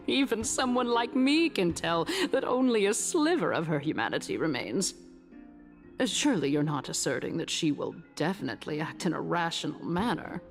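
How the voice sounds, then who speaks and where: A woman voice-acting a shrewd, courtly female council politician in a video game, speaking in formal, measured, grandiose oratory. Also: on the low side, very animated, soft background music. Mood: slyly probing.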